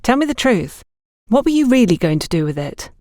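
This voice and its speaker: Woman as a sharp, accusing young orphan girl. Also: fairly high pitch, sing-song, fairly quick, no room sound, clean studio sound, voice pushed up. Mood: suspicious, stern. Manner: accusing, probing.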